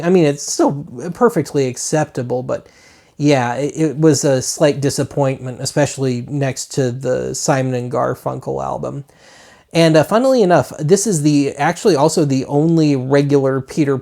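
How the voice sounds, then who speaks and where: A man's voice: medium pitch, gravelly, warm tone. A man hosting a retro media web show, talking casually in a chatty, rambling way with wry asides.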